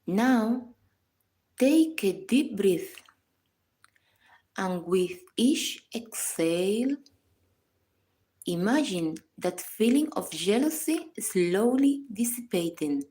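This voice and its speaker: A woman leads a guided meditation at a very slow, unhurried pace. Her voice is soft-edged and mellow, sitting at a medium pitch. She gives each instruction calmly and in measured phrases. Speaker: psychologist guiding a meditation. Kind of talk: scripted guided meditation narration.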